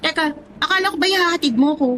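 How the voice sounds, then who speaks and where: A woman with a Filipino accent playing a young, teasing, curious best friend in an audio drama, speaking expressive casual slang. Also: very high voice, quick pace, slight background noise.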